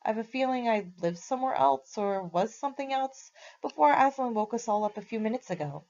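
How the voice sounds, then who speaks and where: A woman voicing a gentle talking horse who speaks slowly and thoughtfully, a little confused. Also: a little high, lively intonation, highs cut off, pitched above the performer's own voice. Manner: slow, thoughtful, hesitant.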